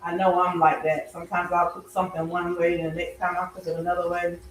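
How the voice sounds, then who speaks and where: A middle-aged woman chatting casually as she cooks, her voice slightly raspy as it recovers. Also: on the low side, level tone, fast talker, roomy sound, slight background noise, rough recording. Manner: chatty, warm greetings and blessings to viewers. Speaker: health coach and vlogger. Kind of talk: casual cooking vlog chat. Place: home kitchen.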